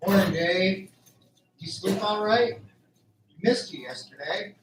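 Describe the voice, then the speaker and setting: Man acting an earnest farmhand, highish voice. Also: slow. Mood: concerned. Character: earnest, polite, uneasy young male farm worker. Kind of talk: radio drama acting. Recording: roomy, distant, faint noise.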